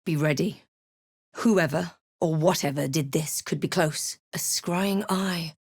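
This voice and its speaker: A woman playing a watchful, wry fantasy adventurer in a video game, recounting her journey in a measured storybook way with dry asides. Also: deep voice, a little mellow, breathy edge, no echo, exaggerated voice. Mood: alert, brooding. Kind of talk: video game character voice lines.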